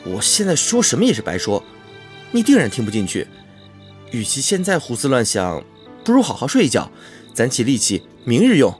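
A man playing a loyal, impulsive young noble cousin in a historical audio drama, earnest and expressive. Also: medium pitch, a little fast, faint music behind. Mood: reassuring.

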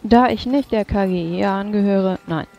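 A woman's voice: mid-range voice, normal speed, vocal fry, warm tone, natural voice. Woman playing a formal official, slightly dull audio. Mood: businesslike. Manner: polite, hesitant, formal. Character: formal, businesslike female official taking an interrogation record. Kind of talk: improvised tabletop roleplay.

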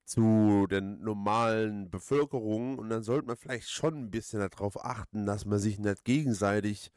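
Guy doing a let's play, lowish voice, dry close sound, rambles and repeats himself. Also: a little mellow, clear, resonant tone, no room sound. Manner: halting, rambling, repeats words. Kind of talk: casual gaming commentary.

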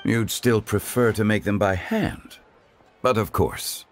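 Dignified game scholar, very low voice, measured, formal, calm. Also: male voice, a little hiss or hum. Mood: composed. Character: calm, dignified, older-seeming male scholar.

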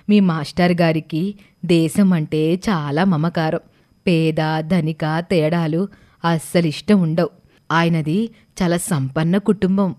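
A woman voicing a grave, kindly schoolmaster's widow in a cartoon story, measured and earnest. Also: low voice, dark tone.

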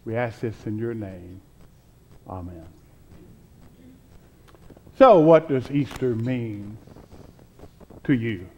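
An older man in his sixties delivers a sermon from a church pulpit. His pace is very unhurried and his pitch sits in a middle range for a man. He sets out short phrases deliberately, with pauses between them. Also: lively intonation, a little hiss or hum, rough recording. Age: 60s. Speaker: pastor. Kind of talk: sermon and spoken prayer.

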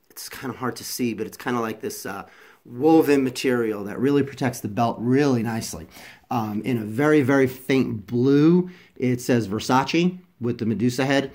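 A man with a congested, stuffy voice from a head cold, casually reviewing a product at home with lots of ums and restarts. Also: mid-range voice. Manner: chatty, many ums and restarts, drops softer for close-up details. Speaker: fashion vlogger. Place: indoors at home, filming over a carpeted floor.

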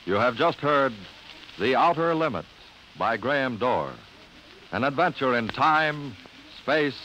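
A man's voice: mid-range voice, animated, slow, bright, forceful tone, faint music behind. An American man making formal 1950s radio announcements. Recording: noisy vintage radio broadcast.

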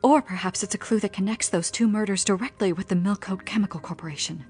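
An actress playing an earnest, anxious young woman in a pulp thriller audio drama, speaking in a breathy, hesitant half-whisper. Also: medium pitch, fast, a little mellow, soft background music, close to an everyday voice. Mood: earnest, secretive. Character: earnest, anxious young woman pleading her case to police.

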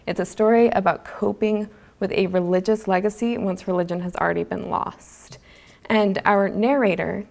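Female, 20s, student presenter. Medium pitch with noticeable vocal fry, earnest and articulate academic delivery from a podium. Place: lecture auditorium stage. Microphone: gooseneck podium microphone.

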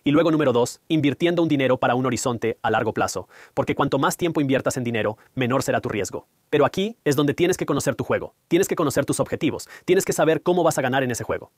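A man whose first language is Punjabi explains money matters straight to the listener, at an ordinary pitch for a man. He speaks close to the mic, and the sound is dry and dead, with no room echo. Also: animated, quick pace, hardly any pauses. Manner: direct, explanatory, addresses viewer. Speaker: finance educator. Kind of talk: whiteboard finance lesson, dubbed voice-over.

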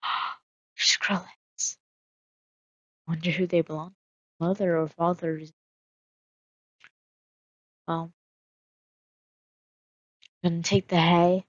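A woman's voice: on the low side, flat delivery, takes it slowly, long pauses, dry recording, dull audio. A woman casually narrating her solo game roleplay, musing aloud with hesitant fillers.

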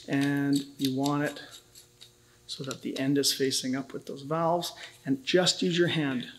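Guy in his 30s, gravelly voice, jokey laid-back welding lesson in a shop. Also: mid-range voice. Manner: casual, joking, upbeat teaching. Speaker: welding instructor. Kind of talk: instructional video.

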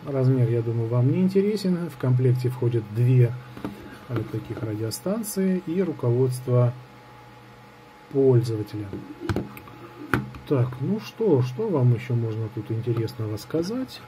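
A man's voice: mid-range voice, expressive, unhurried, warm tone, poor audio. A man giving a casual hands-on product review, improvising hesitantly and thinking aloud as he goes. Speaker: gadget reviewer. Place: indoors at a table in an apartment. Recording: clear background noise on home recording.

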